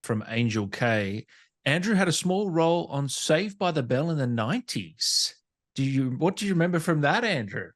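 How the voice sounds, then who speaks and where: A man's voice: fairly high pitch, big pitch swings, normal speed. A man hosting a casual interview, putting questions to his guest in a chatty way. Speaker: interview host reading fan questions.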